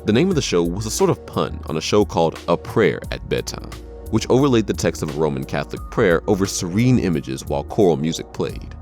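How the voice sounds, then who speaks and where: Deep guy narrating a list video, pitch moves around a lot, faint music behind. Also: big pitch swings, fairly quick, a little mellow. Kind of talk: scripted narration.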